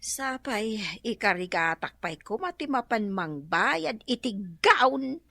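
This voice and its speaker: A woman's voice: a little high, very animated, slow pace, gravelly, pushed and bright, no echo, heavily acted. A woman playing a disappointed but sympathetic older village auntie in a comedic radio drama, sighing, with an Ilocano accent.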